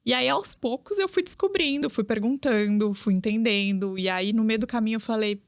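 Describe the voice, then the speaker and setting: A woman's voice: a little high, brisk, dry recording, clean studio sound. A woman, a guest on an interview, speaking chattily and often tagging her sentences with little questions. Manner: chatty, with frequent tag questions. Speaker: guest, drama YouTuber. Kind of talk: casual conversational interview.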